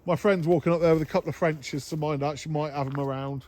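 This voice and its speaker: A man's voice: a little high, fairly even intonation, quick pace, a little mellow. A man vlogging outdoors in a nature area, chatting casually and rambling, laughing often. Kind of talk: casual chat.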